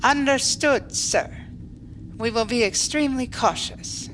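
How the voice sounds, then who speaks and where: A woman's voice: a little high, a little slow, fairly bright tone, slight background noise, rough recording. A woman playing a formal, coolly logical starship ensign in a sci-fi audio drama, speaking precisely and without emotion. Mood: composed. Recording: music under the dialogue.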